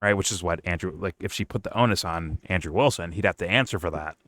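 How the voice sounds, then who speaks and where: Low-voiced guy thinking out loud on a call, dry close sound, keeps saying right?. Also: quick pace, no echo. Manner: thinks aloud, hedging with "right?". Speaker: host. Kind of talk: casual reaction chat.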